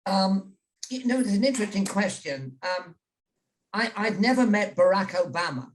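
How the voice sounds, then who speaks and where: A man's voice: sits high, lively intonation, moderate pace, poor audio. Older British man telling rambling stories. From England. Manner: rambling, frequent restarts and repeated words. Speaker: interview guest, journalist. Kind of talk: casual storytelling chat. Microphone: video call.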